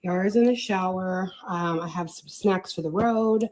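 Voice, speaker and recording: A woman narrating a travel vlog in a bubbly, giggly, excited way. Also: lowish voice, normal speed. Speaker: vlogger.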